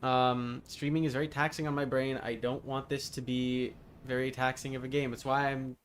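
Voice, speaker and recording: A man's voice: medium pitch, little pitch movement, moderate pace. A man doing casual live game-stream commentary, muttering to himself and repeating his phrases. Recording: noticeable background noise.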